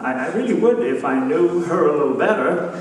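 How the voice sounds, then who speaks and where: A man's voice: on the high side, lively intonation, a little fast, close to an everyday voice. An older man playing a bald, befuddled husband in a scripted stage comedy routine with his wife. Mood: sheepish. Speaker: comedy duo performer. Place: theater stage before an audience. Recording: echoey theater hall, distant mic, noisy.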